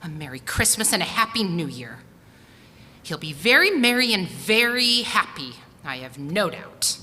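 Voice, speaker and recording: A woman's voice: on the low side, sing-song, moderate pace. A woman playing an indignant, tart-tongued but loyal wife in a 1940s-style radio play. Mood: grudging, sarcastic. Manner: tart, sarcastic, forceful. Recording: reverberant hall, faint noise.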